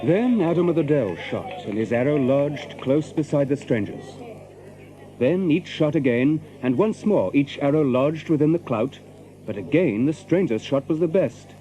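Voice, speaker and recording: An actor playing a measured storyteller, reading scripted narration of a medieval adventure. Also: male voice, on the high side, lively intonation, mellow, natural voice, poor audio.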